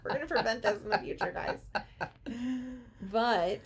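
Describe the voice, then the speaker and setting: A woman's voice: medium pitch, animated, measured pace, a little hiss or hum. A woman in her thirties from Texas, hosting a podcast in casual chat, self-deprecating and giggly. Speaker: podcast host.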